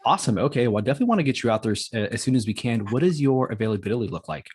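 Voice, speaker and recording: A man's voice: mid-range voice, lively intonation, rapid-fire, darkish tone, husky. A man speaking as a real estate agent on a practice sales call, politely affirming, with an occasional stammer. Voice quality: occasional stammer, gets hung up on words. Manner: polite, repeats back and affirms often. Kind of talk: role-played phone sales call.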